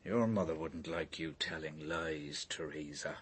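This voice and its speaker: An actor in a radio drama playing a cold, evasive father with a guarded, quietly menacing manner. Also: a bass voice, a little slow, husky, full character voice.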